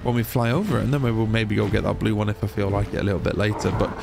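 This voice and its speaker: A young man with a cold and a slightly nasal, blocked-nose voice, chatting excitably through a game playthrough. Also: on the low side, rapid-fire, creaky, over music. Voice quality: a bit nasal. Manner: chatty, excitable, rambling asides. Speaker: gaming YouTuber. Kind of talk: casual let's-play commentary.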